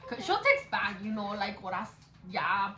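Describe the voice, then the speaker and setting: A woman's voice: mid-range voice, sing-song, normal speed, pushed and bright. Giggly young woman in an echoey room. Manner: code-switches between English and Spanish; blunt, swears freely. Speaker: vlogger chatting with her best friend. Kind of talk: casual chat. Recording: echoey room with background noise.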